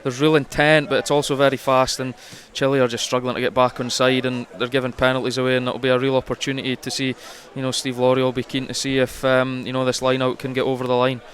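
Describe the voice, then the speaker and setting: Male radio co-commentator, very even intonation, chatty match analysis with halting, explanatory phrasing. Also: medium pitch. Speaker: rugby co-commentator. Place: commentary position at a rugby ground.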